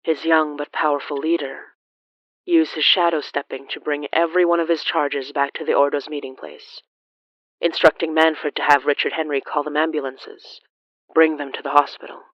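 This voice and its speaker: A narrator reading a scripted horror story in a solemn, deliberate way with dramatic pauses. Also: mid-range voice, little pitch movement, no echo, dull audio. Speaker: narrator of a horror fiction podcast.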